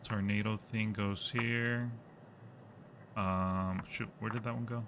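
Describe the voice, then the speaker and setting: A man's voice: fairly low pitch, steady tone, slow pace, noisy background. A man casually commentating a horror game as a live streamer, joking and muttering to himself in a playful way.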